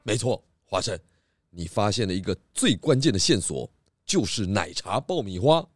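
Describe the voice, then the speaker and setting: A man voicing a calm, methodical pig detective who patiently leads with questions in a children's audio drama. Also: on the low side, no room sound. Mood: methodical, probing. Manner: measured, patient, leading with questions.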